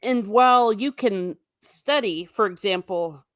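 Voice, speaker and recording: A woman's voice: medium pitch, a little slow, fairly bright tone, no echo, clean studio sound. A woman hosting a casual conversation, a teacher who talks in a chatty way and often laughs mid-sentence. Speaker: podcast host.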